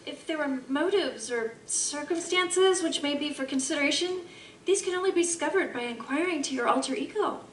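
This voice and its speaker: A woman's voice: sits high, animated, fairly quick. A woman playing a courteous, reasoning period character in a live audio drama, speaking in formal, polite phrases. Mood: reasoning, persuasive. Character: courteous, reasoning woman questioning and counselling a troubled scientist. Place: live convention performance in a room. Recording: roomy, noisy live stage recording, distant mic.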